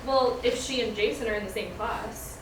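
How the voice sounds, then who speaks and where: A woman playing a quick-to-retort high school girl in casual banter at a screenplay table read. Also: natural voice. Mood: matter-of-fact. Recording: echoey, noisy room from far off.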